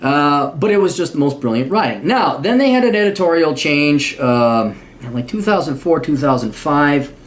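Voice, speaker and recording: A man's voice: fairly high pitch, lively intonation, moderate pace, few pauses. A man in his forties with a Midwest accent, talking bluntly and sarcastically in a rambling monologue to camera. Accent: American Midwest. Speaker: vlogger.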